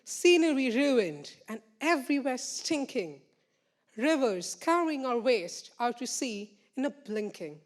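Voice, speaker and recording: A woman reciting a rhyming poem on stage with deliberate emphasis. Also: sits high, big pitch swings. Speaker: student poet.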